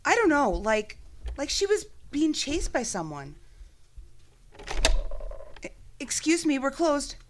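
Woman acting a high-voiced anxious secretary. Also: big pitch swings, takes it slowly, long pauses, heavily acted. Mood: alarmed. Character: loyal, anxious detective's secretary. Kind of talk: noir radio play acting.

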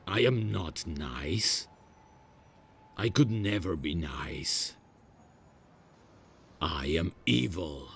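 A man acts out a proud male demon in an ASMR roleplay, with an exceptionally deep voice full of gravel. He speaks extremely slowly and haltingly, awkward yet menacing, in a grumpy deadpan. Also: a little hiss or hum, exaggerated voice. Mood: grudging. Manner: hesitant, deadpan, menacing, slow.

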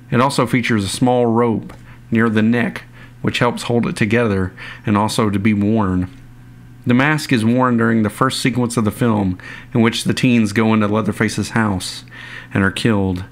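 Man narrating a video in a low voice. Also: steady tone, normal speed. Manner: plain, informal, reading from notes. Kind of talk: scripted voice-over narration.

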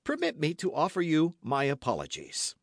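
A man's voice: a little high, huge pitch swings, normal speed, no echo, clean studio sound, voice pushed up, heavily acted. Cocky young gascon duelist, big ringing voice, a guy doing him. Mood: defiant. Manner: ringing, impassioned delivery. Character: bold young Gascon swordsman, gallant and proud.

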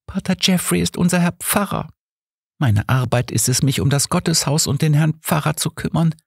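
A man's voice: fairly high pitch, animated, normal speed, creaky, breathy edge, dry recording, clean studio sound, voice pushed up, exaggerated voice. A man voicing a very young, guilt-ridden woman in an audiobook, timid, fragile and sobbing. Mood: tearful, guilty. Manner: timid, unsure.

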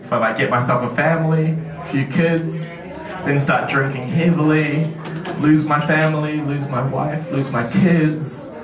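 A young man with a New Zealand accent, a stand-up comedian with a dry, deadpan, self-deprecating delivery, speaking into a handheld mic in a brick comedy club. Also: a little high, measured pace, reverberant, distant mic. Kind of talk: stand-up set and casual interview. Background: crowd laughter.

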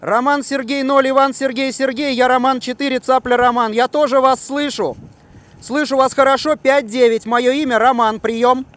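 A middle-aged man with a very high-pitched voice works as a radio operator. He speaks in courteous, deliberate procedure, outdoors with clear noise around him. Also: fairly even intonation. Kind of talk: formal radio exchange, asking questions. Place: outdoors on a city rooftop.

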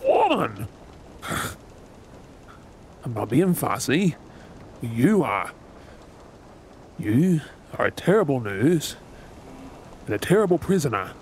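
Guy doing a brooding aussie pirate captain for a roleplay drama, gruff and sardonic, really animated pitch, bit of ship ambience behind. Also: takes it slowly, long pauses, a little hiss or hum. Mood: irritated, sardonic. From Australia. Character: gruff, wounded male pirate captain, bitter yet tender. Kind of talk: ASMR role-play drama acting.

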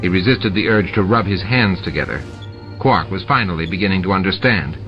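A man narrating a novel aloud in a steady, even storytelling manner. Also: sits low, brisk. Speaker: audiobook reader. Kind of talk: scripted narration. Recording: dull lo-fi with music behind.

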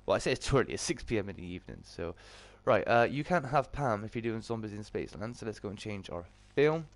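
A British man with a slightly croaky, just-woken voice, hosting a casual livestream and greeting viewers chummily. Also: lowish voice, animated, fast. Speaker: live streamer and host.

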